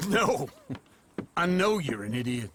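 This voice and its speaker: An actor playing a lazy, teasing older outlaw in a video game, theatrical and self-pitying. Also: male voice, medium pitch, big pitch swings, measured pace, a bit bright, slight background noise. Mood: mocking. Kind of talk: dramatic video game acting.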